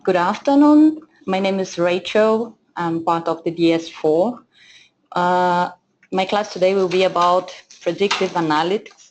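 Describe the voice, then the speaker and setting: A woman's voice: lowish voice, a little slow, darkish tone, rough recording. A woman with a Portuguese accent giving a lesson over shared slides, speaking hesitantly with many fillers and restarts. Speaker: data analytics trainer. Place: screen-share presentation, voice off camera.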